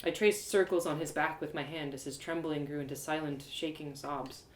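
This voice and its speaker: A woman's voice: low-pitched, steady tone, moderate pace. A woman narrating a story expressively in the first person, as a live storyteller. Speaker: storyteller and host of live readings. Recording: roomy, echoey live reading.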